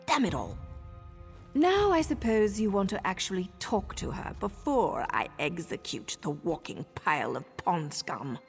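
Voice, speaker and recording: A woman's voice: lowish voice, sing-song, measured pace, long reverb tail. A woman playing a sardonic, impatient assassin in a video game, with a wry, threatening delivery. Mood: irritated. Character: sardonic, impatient female assassin, deadly and businesslike. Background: game music.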